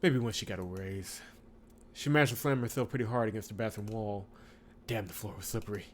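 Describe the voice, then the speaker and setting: A man hosting a visual novel playthrough, chatting casually and reading the story aloud in an expressive way with wry asides. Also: lowish voice, a little fast, a little hiss or hum. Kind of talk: casual let's-play commentary with read-aloud narration.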